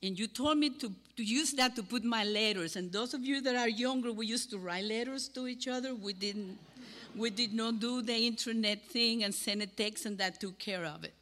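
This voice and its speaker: An older woman from Cuba with a Cuban Spanish accent, giving an earnest sermon to a congregation and pausing between phrases. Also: a little high, fairly bright tone. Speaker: guest speaker. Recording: hall with long reverb.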